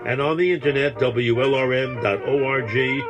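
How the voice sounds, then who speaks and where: A man's voice: medium pitch, moderate pace. A male radio host reading a scripted promo for his show. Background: guitar music.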